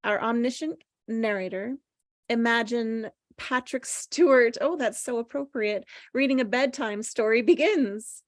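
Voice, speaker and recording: High-voiced woman in a dry, close recording. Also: a little slow, dry recording. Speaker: table read participant reading action descriptions. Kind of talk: casual chat and scripted narration.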